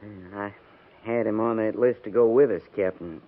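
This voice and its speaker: A man acting a gruff, by-the-book American cavalry sergeant with a blunt, clipped military manner in a 1950s radio drama. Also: fairly low pitch, fairly even intonation, moderate pace, noisy background, natural voice. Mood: subdued, blunt. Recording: tinny narrow-band 1950s radio recording.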